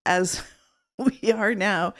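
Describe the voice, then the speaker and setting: A woman from Arizona, a guest in a casual interview, speaking thoughtfully with many pauses, ums and restarts. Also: mid-range voice, fairly bright tone. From Tucson, Arizona.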